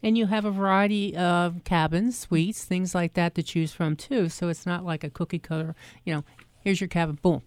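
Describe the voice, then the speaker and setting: Warm, low-voiced South Jersey woman host. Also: fairly even intonation, normal speed, clear, resonant tone, no room sound. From South Jersey, near Philadelphia. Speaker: show host. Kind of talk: casual interview chat.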